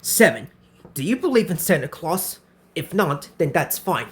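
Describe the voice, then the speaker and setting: A man's voice: on the high side, very animated, moderate pace, fairly bright tone, slight background noise. A man in his twenties hosting a casual question-and-answer vlog, who often repeats himself and restarts his phrases. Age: 20s. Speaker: channel host answering viewer questions.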